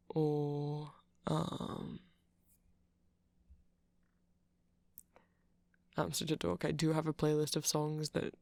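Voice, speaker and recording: A man playing a nerdy, easily flustered vampire who rambles hesitantly and sweetly in a soft-spoken ASMR roleplay. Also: on the high side, creaky, dry recording. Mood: shy, rambling. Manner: hesitant, rambling, self-deprecating, breaks into soft laughs.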